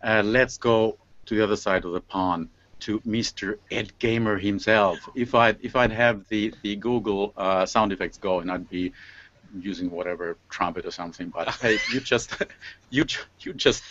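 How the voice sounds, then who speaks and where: A man's voice: fairly low pitch, steady tone, normal speed, fairly bright tone, highs cut off. A man hosting an informal online panel over a video call, speaking in a hesitant, stop-and-start way. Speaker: host of an online panel show.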